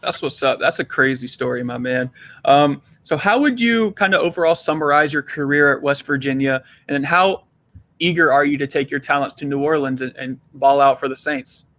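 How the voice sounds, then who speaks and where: A man's voice: fairly high pitch, moderate pace, a bit bright, no echo. A man hosting a casual interview, upbeat and easygoing, laughing along with his guest. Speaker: podcast host.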